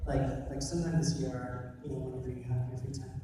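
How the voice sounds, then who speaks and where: A man's voice: mid-range voice, little pitch movement, fast talker. Hesitant man in an echoey hall. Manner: hesitant, with many fillers. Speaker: audience member asking a question. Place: large convention hall. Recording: echoey hall recorded from far off, noisy.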